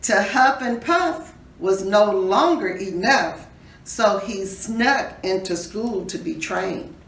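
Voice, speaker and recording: A woman reading a children's story aloud in an expressive, teacherly way, pausing to put questions to her listeners. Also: mid-range voice. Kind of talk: dramatic read-aloud with questions to young listeners. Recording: roomy echo, distant mic, faint noise.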